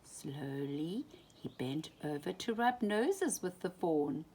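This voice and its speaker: A woman reading a children's story aloud in an animated, dramatic way, often dropping into hushed tones. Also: sits low, slow, slightly rough. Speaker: storybook reader.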